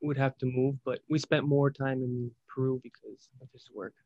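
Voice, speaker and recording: A man's voice: mid-range voice, steady tone, moderate pace, dry recording. A young man from Spain, a startup founder speaking as an interview guest, hesitant and reflective, often restarting his phrases. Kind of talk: casual interview talk. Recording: dull lo-fi sound.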